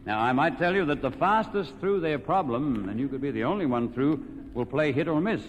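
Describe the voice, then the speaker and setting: Male Australian quiz show host, folksy showman, swooping higher-set voice, vintage radio sound in a reverberant hall. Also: expressive, a little fast. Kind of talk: old-time radio quiz show banter and sponsor plugs. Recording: vintage radio recording, echoey hall, background noise.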